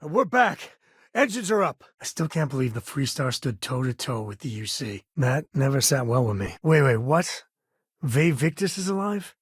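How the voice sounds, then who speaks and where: A man's voice: on the high side, slightly rough, no echo, studio quality. An actor playing an easygoing, wry, middle-aged spacefaring adventurer and father in a video game, talking in a folksy, colloquial way. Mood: urgent, then exasperated. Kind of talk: video game character dialogue.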